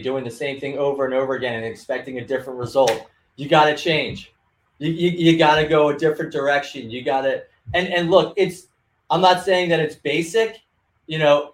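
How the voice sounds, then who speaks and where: A man hosting a casual interview podcast, enthusiastic and prone to repeating his phrases. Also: a little high. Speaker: podcast host. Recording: echoey room.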